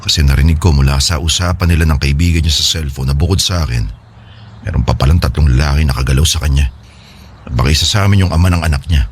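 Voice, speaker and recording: A man's voice: very deep voice, fast, a little hiss or hum. A man playing an earnest, regretful separated husband and devoted father in a radio drama, speaking in a confiding, hesitant way. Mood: hurt, confiding. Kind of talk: Filipino radio drama dialogue.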